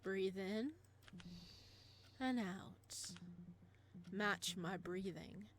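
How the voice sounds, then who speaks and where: A woman voicing a calm, coaxing male hypnotist in a visual novel game, speaking slowly and soothingly over background noise. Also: medium pitch. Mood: calm, guiding. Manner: slow, hushed, soothing. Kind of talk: visual novel character dialogue.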